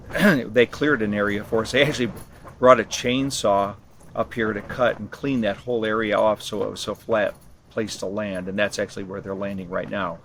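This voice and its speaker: A man with a fairly low voice talks casually, as if answering interview questions. He rambles a little, stopping to rephrase and correct himself. There is clear background noise on the recording. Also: a bit bright. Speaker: interviewee, ham radio expedition team member. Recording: clearly noisy location sound.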